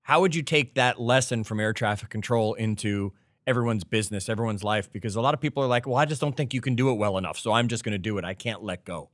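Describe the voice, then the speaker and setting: A man's voice: lowish voice, quick pace, few pauses, no room sound, clean studio sound. A man co-hosting a podcast in casual discussion, prompting the host with questions and adding his own examples.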